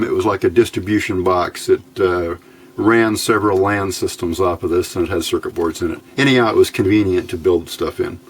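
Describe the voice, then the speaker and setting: Low-voiced man, folksy hobby tutorial. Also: slight background noise. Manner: folksy, plainspoken, thinking aloud. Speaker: electronics hobbyist presenter. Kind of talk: informal hands-on build tutorial.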